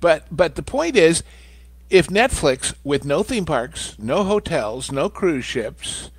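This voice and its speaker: A man co-hosting a casual commentary show, animated and jokey, often restarting his words. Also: a little high, slow, slightly rough, no room sound. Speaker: podcast co-host.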